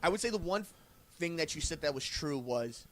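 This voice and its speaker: Excitable young man, higher voice. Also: normal speed. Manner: excitable, hedging, restarts. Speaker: podcast co-host. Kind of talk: casual chat.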